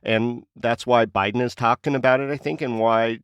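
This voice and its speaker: A man's voice: medium pitch, fairly even intonation, normal speed, clean tone, no echo. An American man with a mid-range voice hosts a casual news discussion. The sound is close and dry, with no room around it. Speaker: podcast host.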